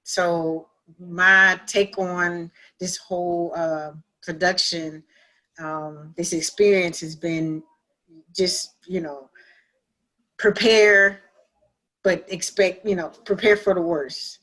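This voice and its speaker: A woman with a lowish, bright voice gives an unhurried, reflective answer. She talks casually and haltingly, as an actor on an online panel. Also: fairly even intonation, rough recording. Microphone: video call.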